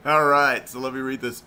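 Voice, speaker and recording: A man casually chatting on a live game stream into a desk microphone, rambling with lots of fillers. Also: on the high side. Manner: rambling, relaxed, frequent "uh" fillers.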